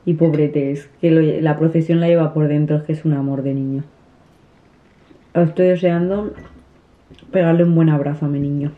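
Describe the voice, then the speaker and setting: A woman's voice: sits low, steady tone, moderate pace, pauses often. A woman in her thirties, a family vlogger, chatting casually to the camera while eating at a table at home. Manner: chatty, restarts often, soft. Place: small room at a dinner table, indoors. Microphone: camera on the table at close range. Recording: tinny, phone-like sound.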